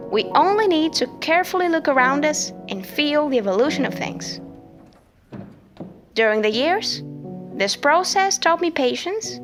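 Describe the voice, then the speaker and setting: High, lively woman narrating over music. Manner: reflective, expressive reading. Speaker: show host. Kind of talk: scripted narration.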